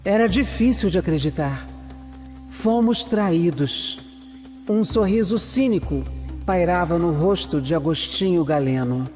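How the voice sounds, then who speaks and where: A woman playing a shrewd, seasoned Brazilian investigator in an audio drama, serious and measured. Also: on the low side, animated, darkish tone, big-room sound, faint music behind. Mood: grave, measured. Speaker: lead actor and first-person narrator. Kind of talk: audio drama acting and scripted narration.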